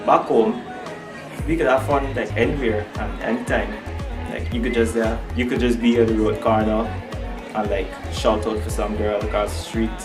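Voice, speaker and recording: A man's voice: fairly low pitch, flat delivery, moderate pace. A young man from Guyana, a student answering interview questions in a loose, rambling way and laughing often. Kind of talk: casual street interview. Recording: echoey, distant, music over it.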